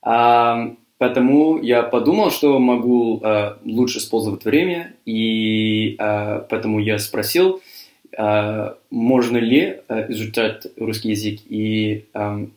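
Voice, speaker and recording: A man's voice: fairly low pitch, takes it slowly, a little mellow. Hesitant young man in an echoey room. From Cyprus. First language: English. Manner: hesitant, many fillers and restarts. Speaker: university student. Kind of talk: interview answers in a learned language.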